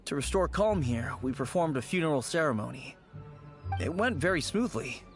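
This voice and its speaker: An actor playing a polite, earnest, apologetic young undertaker in a video game, explaining things courteously. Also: male voice, fairly high pitch, expressive, moderate pace. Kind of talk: animated video game voice acting. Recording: game music behind the voice.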